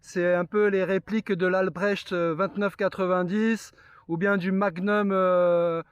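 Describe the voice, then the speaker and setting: A man's voice: sits high, little pitch movement, measured pace, clean tone, dry recording, lo-fi sound. A man chatting casually and explaining things as a radio operator. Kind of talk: casual radio contact chat.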